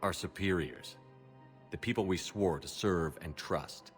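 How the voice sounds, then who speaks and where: An actor playing a firm, principled military commander in a video game, speaking in a measured, direct way. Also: male voice, sits low, soft background music. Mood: stern. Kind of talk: video game cutscene dialogue.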